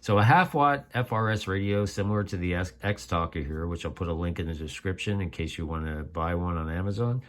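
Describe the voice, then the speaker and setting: Man, low voice, informal host explaining things conversationally, folksy asides. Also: fairly quick, few pauses. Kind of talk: casual explainer.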